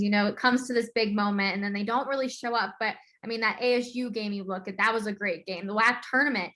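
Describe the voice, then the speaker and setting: Bright-voiced American woman hosting sports podcast. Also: medium pitch. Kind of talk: casual conversational interviewing.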